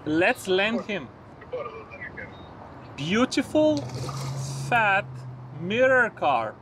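A man's voice: high voice, sing-song, extremely slow. A man on a small boat, cheering on the fish he hooks and talking to his viewers. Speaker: fishing vlogger. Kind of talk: excited commentary while fishing. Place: small fishing boat on a river, outdoors. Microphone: camera mounted on the boat. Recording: noisy outdoor boat recording. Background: traffic and outdoor noise.

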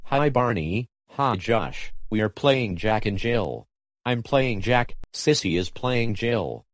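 An earnest young cartoon dinosaur, a big brother played by a man. His pitch moves in big lively swings, and the sound is dry and close. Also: takes it slowly, no room sound, heavily acted. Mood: earnest, playful. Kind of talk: children's cartoon dialogue.

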